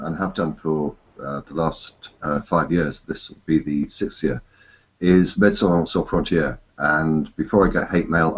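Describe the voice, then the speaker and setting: Very deep, husky man explaining carefully. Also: lo-fi sound. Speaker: podcast guest, charity fundraiser. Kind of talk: explanatory interview talk.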